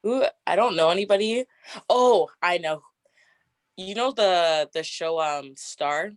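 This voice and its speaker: Deep raspy young woman, chatty. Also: a little slow, no room sound, studio quality. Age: 20s. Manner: chatty, frequent "like" fillers, laughs often. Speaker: interview guest. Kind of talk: casual get-to-know-me interview.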